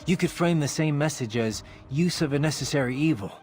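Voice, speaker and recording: A man's voice: a little high, fairly quick, darkish tone, soft background music, lightly acted. A man playing a battle-hardened cyborg swordsman in a video game, earnest and philosophical. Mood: reflective, conversational.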